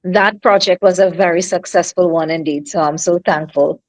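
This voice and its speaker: A woman singer from Trinidad with a Trinidadian accent, answering interview questions over a remote call in a soft, halting way with many fillers and restarts. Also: lowish voice, steady tone. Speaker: singer guest.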